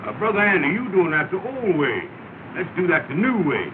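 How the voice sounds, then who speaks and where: An actor playing a scheming lodge leader, persuasive and grandly wordy, in a 1930 comedy film. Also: male voice, sits high, sing-song, brisk. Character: smooth-talking, scheming lodge leader, a small-time swindler. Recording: tinny, noisy 1930 film soundtrack.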